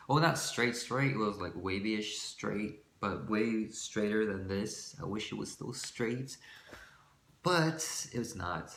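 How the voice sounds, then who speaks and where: A shy young man rambling casually about his light voice, with many fillers, sometimes pushing it deeper. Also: vocal fry, a bit bright, slight background noise. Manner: shy, rambling, full of fillers and self-corrections; shifts between a light voice and a forced deeper one. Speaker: vlogger. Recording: echoey room.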